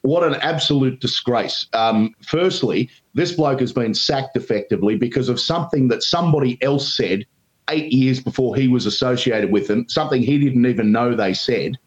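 A man with an Australian accent, a radio talkback host, giving emphatic opinions with frequent ums as a guest. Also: normal speed. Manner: emphatic, pitch leaps when indignant, frequent ums. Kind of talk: opinionated commentary in an interview. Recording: dull lo-fi remote line.

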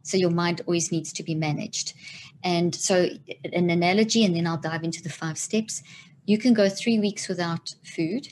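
A woman's voice: lowish voice, a little mellow, slight background noise, rough recording. A middle-aged woman from Zimbabwe and South Africa, a neuroscientist explaining her ideas with emphatic enthusiasm in an interview. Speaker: guest neuroscientist.

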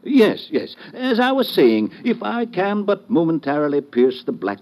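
A pompous, swooping old-radio professor. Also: male voice, fairly high pitch, big pitch swings, unhurried, a little mellow. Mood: grandiose. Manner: florid, verbose, lofty orotund phrasing. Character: pompous, long-winded philosophizing professor, a toga-clad tippler. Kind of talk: old-time radio comedy-detective acting.

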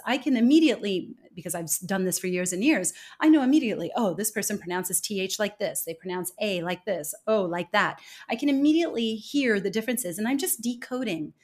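A woman's voice: medium pitch, lively intonation, brisk. Articulate American woman explaining emphatically. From United States. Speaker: podcast guest, accent expert. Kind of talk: conversational interview.

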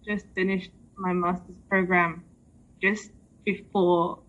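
Young woman, slow and soft spoken, kinda hesitant, lots of pauses. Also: on the low side, little pitch movement, dull audio. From raised in England. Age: young adult. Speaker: podcast guest. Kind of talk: casual conversation.

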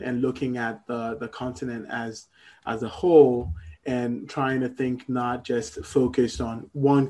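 Middle aged guy from ghana, guest on a talk interview, steady mid voice, lots of ums and pauses. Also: medium pitch. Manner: measured, many "um" pauses. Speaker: guest, entrepreneur. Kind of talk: interview conversation.

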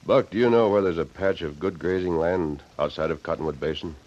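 An actor playing a calm, commanding, honorable masked hero in an old-time radio Western. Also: male voice, low-pitched, a little fast. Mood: calm, assured. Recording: muffled vintage radio recording with noise.

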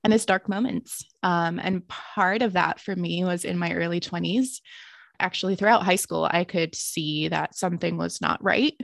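A woman in her thirties, a ministry leader and author, talking about her life in a reflective, earnest way with soft laughs. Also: lowish voice, moderate pace, creaky, dry recording, clean studio sound. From born in Greece, raised in the United States and Romania. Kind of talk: casual interview conversation.

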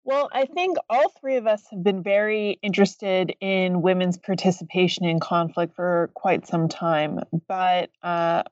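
A woman professor answering interview questions in a thoughtful, measured way, pausing often with fillers. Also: on the low side, darkish tone, no room sound. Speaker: guest author, professor. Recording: distant dull lo-fi remote audio.

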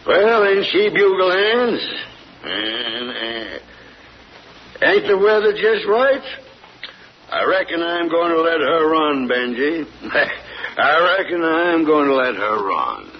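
An actor playing a grieving old man, speaking in a slow, halting, folksy drawl. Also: a little high, sing-song, heavily acted. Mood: hopeful. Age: older. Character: grieving old rural hound-dog man. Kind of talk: 1940s radio acting. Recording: dull 1940s radio broadcast, faint hiss.

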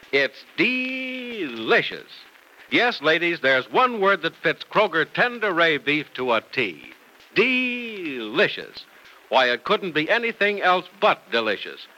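A man's voice: a little high, sharp tone, poor audio. A man announcing and narrating in old-time radio style, with polished, emphatic salesmanship.

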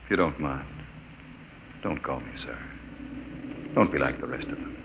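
An actor playing a gentle, earnest archaeologist from off-world in an old radio drama. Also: male voice, extremely low pitch, expressive, moderate pace. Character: calm off-world male archaeologist. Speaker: archaeologist narrator. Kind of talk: 1950s radio drama acting. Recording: old radio broadcast with noise.

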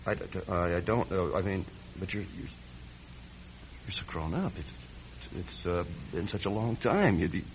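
A man's voice: sits low, animated, moderate pace. An actor playing a vain, womanizing male celebrity with oily charm and a stammer, in a radio drama. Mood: flustered, awkward. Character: smooth, vain, womanizing celebrity man, flustered when cornered. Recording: dull radio recording with faint noise.

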